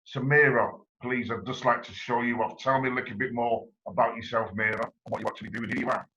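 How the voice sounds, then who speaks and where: Bright-voiced man hosting an interview. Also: mid-range voice, steady tone, fast, a little hiss or hum, low-quality recording. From England. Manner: enthusiastic, effusive, rambling questions. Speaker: interviewer, channel host. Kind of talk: online interview.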